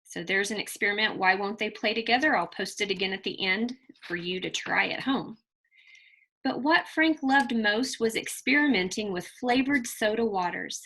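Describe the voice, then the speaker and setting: A woman teacher reading a picture book aloud to children, expressive and deliberate, pausing to put questions to her young listeners. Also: medium pitch, breathy edge, rough recording. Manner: deliberate, emphatic delivery addressed to children.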